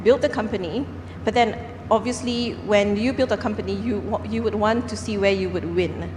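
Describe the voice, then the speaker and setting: A woman's voice: mid-range voice, normal speed. A woman from Singapore speaking on a forum panel, earnest, with frequent fillers and restarts. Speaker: panel speaker, company founder. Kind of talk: panel discussion. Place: large conference hall. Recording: echoey hall, faint background noise.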